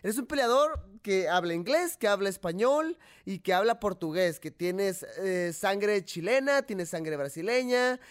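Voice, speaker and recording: A young man hosts a relaxed sports talk show. His voice sits high and he talks in an animated, chatty way, often stopping to restart a sentence. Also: a little slow, hardly any pauses, clean tone. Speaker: host.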